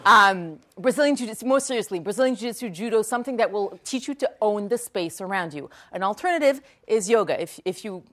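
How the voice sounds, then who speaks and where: A woman's voice: medium pitch, lively intonation, a little fast, hardly any pauses, a bit bright. A woman whose first language is French, answering audience questions at a talk and repeating each question before she answers. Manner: articulate, frequent ums. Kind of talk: lecture Q&A.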